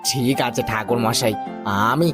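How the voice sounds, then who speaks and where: A man's voice: medium pitch, a little slow, over music, lightly acted. A man voicing a gullible, devout village husband in a cartoon moral story, earnest and deferential. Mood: worried, humble.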